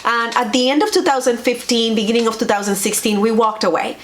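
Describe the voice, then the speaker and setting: A woman's voice: on the high side, fast talker, a little hiss or hum. A woman from Colombia, a native Spanish speaker, telling personal stories conversationally and earnestly, repeating phrases for emphasis. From Bogota, Colombia. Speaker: vlog co-host, pastor.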